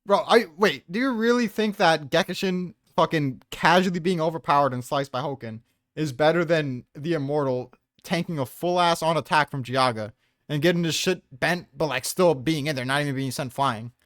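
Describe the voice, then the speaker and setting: A man's voice: a little high, normal speed, fairly bright tone, no echo, studio quality. A man in a casual debate, arguing in profane, slangy speech.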